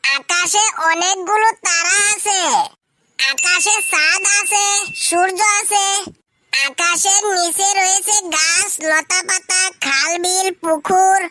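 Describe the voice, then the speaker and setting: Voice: very high-pitched, slow. A performer voicing a cheeky, overconfident young schoolboy in a comedy cartoon, earnestly answering his teacher like a child. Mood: earnest reciting. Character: cheeky young schoolboy pupil, dim but confident.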